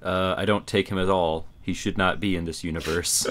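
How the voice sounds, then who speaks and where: Male podcast co-host, fairly deep, blunt and jokey, casual delivery. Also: steady tone, a little fast, fairly bright tone. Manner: blunt, joking. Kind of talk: casual chat.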